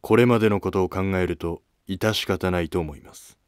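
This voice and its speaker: A man plays a dignified prince of an old imperial court in a historical cartoon drama. The voice is deep and closely dry, the manner formal, guarded and a little curt. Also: a little fast, no echo, natural voice. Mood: guarded, stern. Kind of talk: scripted historical drama dialogue.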